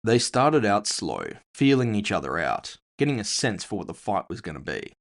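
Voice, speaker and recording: A man's voice: on the low side, sing-song, normal speed. A man narrating scripted first-person audio fiction in a dramatic storytelling manner.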